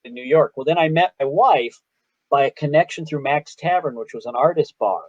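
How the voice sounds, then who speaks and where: A man's voice: fairly high pitch, normal speed, darkish tone, no echo, dull audio. A man with a Chicago accent, a guest artist telling long stories in a casual interview over a video call, often breaking off his own sentences. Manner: rambling, self-interrupting storyteller.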